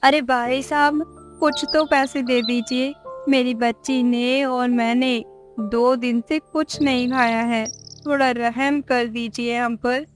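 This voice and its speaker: Weary young mother for a cartoon: very high voice, slow plaintive delivery. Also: fairly even intonation, faint music behind. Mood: begging. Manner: plaintive, pleading. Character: pleading, helpless young mother, cast out and weary. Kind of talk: acted dialogue in Hindi moral-story cartoon.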